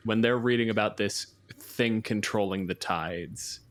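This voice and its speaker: A man's voice: lowish voice, measured pace, fairly bright tone. A man acting as game master, casually improvising and questioning players in a tabletop game.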